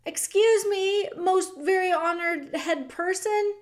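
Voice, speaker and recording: A tiny brave mouse speaks up in a high voice, formal and pleading, eager to be heard. A woman puts him on while reading a storybook aloud. He is earnest and insistent. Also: takes it slowly, a bit bright, full character voice.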